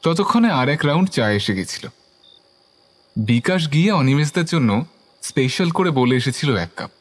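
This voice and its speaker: A man playing a genial narrator, reading a scripted audio story with expressive delivery. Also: a little high, normal speed. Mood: genial, storytelling.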